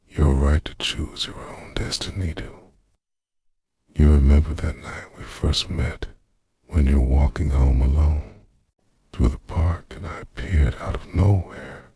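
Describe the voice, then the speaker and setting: An obsessive, manipulative vampire boyfriend, acted by a man murmurs an intimate ASMR roleplay confession. His voice is extremely low and crackles with gravel, sinking often into a breathy whisper. He speaks slowly, full of remorse. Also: darkish tone, full character voice. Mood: pleading remorse, then dark confession.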